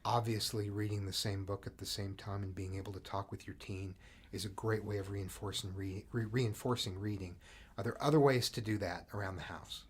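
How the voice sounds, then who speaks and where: A man's voice: fairly low pitch, steady tone, a little fast, a little hiss or hum. A middle-aged man hosting a podcast interview in an upbeat, articulate, conversational way. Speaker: podcast host. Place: studio.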